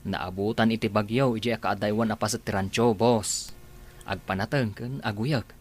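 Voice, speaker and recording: A man's voice: fairly low pitch, fairly even intonation, moderate pace, slight background noise, natural voice. A man playing a loyal, deferential farmhand who speaks earnestly to his boss, in a radio drama. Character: loyal farmhand, uncle figure to the boy.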